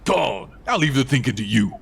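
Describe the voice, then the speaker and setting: An actor playing a gruff, hot-headed resistance fighter in a video game, blunt, impatient and folksy, with a raspy voice. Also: male voice, medium pitch, sing-song, normal speed, exaggerated voice. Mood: impatient, blustering. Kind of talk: dramatic video game acting.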